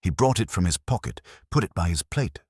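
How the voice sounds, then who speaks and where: A man voicing a nervous, helpful female bakery barista with a crisp, guarded manner in a detective story. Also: low-pitched, lively intonation, brisk, a little mellow, dry recording, studio quality, full character voice. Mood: nervous, defensive.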